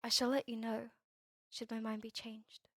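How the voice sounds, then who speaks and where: A woman playing a guarded vampire heir in a scripted ASMR roleplay, measured, formal and cool. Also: fairly high pitch, flat delivery, a bit bright, no room sound, studio quality.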